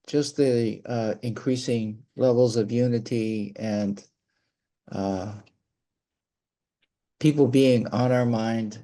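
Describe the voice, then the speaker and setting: A man being interviewed speaks slowly in a dark, relaxed voice. He is halting, pausing often to find the next word as he gives his conversational answers. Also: medium pitch. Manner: halting, pausing to search for words.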